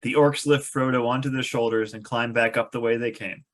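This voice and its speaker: Medium-pitched man narrating a fantasy script. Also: steady tone, a little fast. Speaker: narrator reading stage directions.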